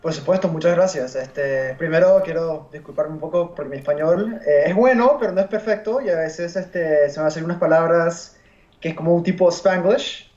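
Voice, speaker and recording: Interview guest, man in his twenties, from the US, slightly high pitch, hesitant, casual, muffled sound. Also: normal speed, darkish tone. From United States. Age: 20s. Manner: hesitant, searching for words in his second language. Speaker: interview guest, university student. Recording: dull, roomy sound.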